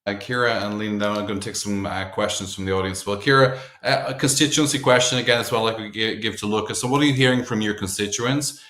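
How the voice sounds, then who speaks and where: A man's voice: fairly low pitch, fast, few pauses, fairly bright tone. Probing male moderator, roomy sound. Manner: probing, conversational questioning. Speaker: panel moderator. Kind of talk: moderating a panel discussion. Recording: roomy echo.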